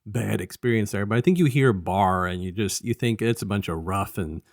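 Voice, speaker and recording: A man with a fairly low voice chats casually as a review co-host. He rambles and restarts his thoughts as he goes. The sound is close and dry, with very little room in it. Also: darkish tone, no room sound. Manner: halting and rambling, often restarting sentences. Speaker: podcast co-host.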